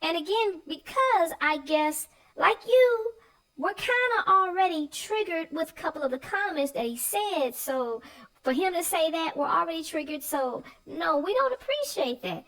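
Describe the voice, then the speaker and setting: A woman's voice: extremely high pitch, animated, normal speed, fairly bright tone, rough recording. A woman co-hosting a casual, informal discussion of a children's story, speaking her opinions freely. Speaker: read-aloud show co-host.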